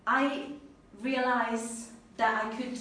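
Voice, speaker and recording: Italian woman giving a talk, earnest and lively, echoey room, mic kinda far off. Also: fairly high pitch, takes it slowly. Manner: earnest, animated, laughs easily. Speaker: speaker at a talk. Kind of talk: personal talk then audience Q&A. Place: event room with an audience. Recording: echoey room, mic at a distance, faint noise.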